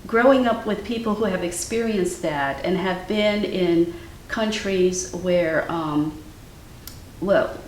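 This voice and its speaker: An older woman, a guest artist whose first language was Ukrainian, talking in a thoughtful, measured way with many fillers. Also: fairly low pitch. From Cleveland, Ohio, US. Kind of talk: casual interview conversation. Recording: echoey room from far off, faint noise.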